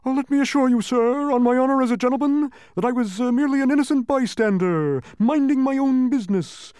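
A man voicing a pompous, flustered bug gentleman in a storybook, nervous, stammering and eager to please. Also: sits high, moderate pace, dry recording, studio quality, pitched above the performer's own voice, lightly acted. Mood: nervous, pleading.

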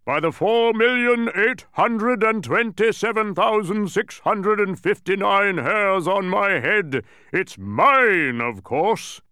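A man's voice: mid-range voice, sing-song, no room sound, clean studio sound. A man voicing a proud, boastful wizardly mathematician in a grand, emphatic manner for a storybook. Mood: bellowing, proud. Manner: bellowing, grand, slow emphatic proclamations.

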